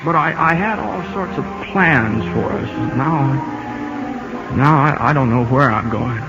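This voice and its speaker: An actor playing an earnest, easygoing young country ballplayer in a radio drama, halting and folksy in his talk. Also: mid-range voice, natural voice. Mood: earnest, troubled. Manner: halting, folksy, repeats himself. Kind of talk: 1950s radio drama acting. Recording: muffled 1950 radio broadcast, music behind.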